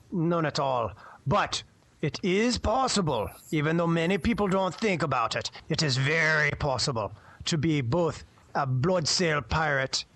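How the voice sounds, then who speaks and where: Guy doing a jolly pirate radio guide, rambly n proud, highish voice, dry sound. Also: fairly bright tone, no echo. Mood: rambling. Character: jovial swaggering male pirate radio guide.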